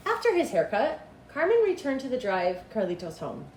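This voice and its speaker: A middle-aged woman reading a children's storybook aloud in an expressive, deliberate storytelling manner. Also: fairly high pitch, soft background music. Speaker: school principal reading aloud. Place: small cinderblock school room, indoors. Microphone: camera a couple of meters away. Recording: echoey room with clear background noise.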